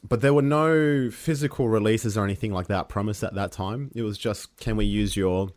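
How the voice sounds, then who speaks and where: A man's voice: on the low side, normal speed, a little mellow, no echo, clean studio sound. A man whose first language is Serbian, a musician chatting as a guest, rambling with many fillers and restarts. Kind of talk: casual interview chat.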